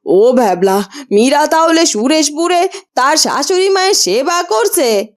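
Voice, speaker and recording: A woman's voice: high-pitched, expressive, a little slow. A woman voicing a caring middle-aged mother and wife in a fairytale cartoon, with a concerned, motherly way of talking. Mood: relieved, tender. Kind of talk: Bengali fairytale cartoon dubbing.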